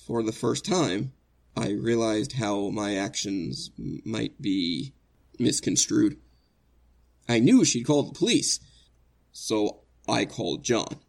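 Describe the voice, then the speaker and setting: An actor playing an anguished innocent man facing execution in a 1940s-style radio drama, earnest and halting, stammering with emotion. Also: fairly low pitch, expressive, gravelly, heavily acted. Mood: regretful.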